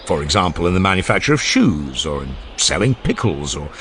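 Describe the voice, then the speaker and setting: A proud older Athenian man, as a male narrator plays him argues his case in a low voice. He is formal, insistent and easily irritated, with emphatic rises and falls, in a dramatized audiobook with faint noise behind. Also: big pitch swings, brisk, a bit bright. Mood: argumentative, insistent. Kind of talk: dramatized philosophical dialogue audiobook.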